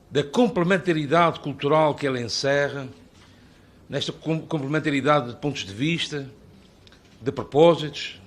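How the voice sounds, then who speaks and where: Azorean man giving an ornate formal address. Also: on the high side. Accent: Azorean Portuguese. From Azores, Portugal. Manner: ornate, rhetorical, long listing phrases. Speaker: invited speaker at a parliamentary hearing.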